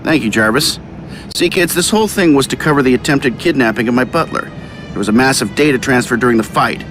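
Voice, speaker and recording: An actor playing a cocky, wisecracking billionaire inventor in a video game, with a breezy, sardonic manner. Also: male voice, mid-range voice, quick pace, close to an everyday voice. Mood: confident, wry. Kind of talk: scripted video game voice acting. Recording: voice under loud game music.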